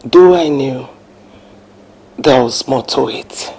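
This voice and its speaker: A Nigerian woman acting a calm, reasoning wife in a domestic film drama, measured and soothing. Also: a bass voice, vocal fry, breathy edge, heavily acted. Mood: thoughtful.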